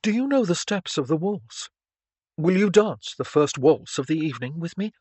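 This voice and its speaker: A narrator voicing a courteous, gently teasing young viscount in an audiobook, with measured gallantry. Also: mid-range voice, expressive, no room sound, lightly acted. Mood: courteous, hopeful. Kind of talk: audiobook character dialogue.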